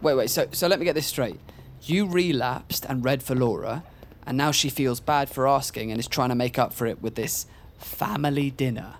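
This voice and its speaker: A man's voice: mid-range voice, normal speed, a little hiss or hum, close to an everyday voice. An actor playing a desperate, pleading young male gambler in a naturalistic audio drama.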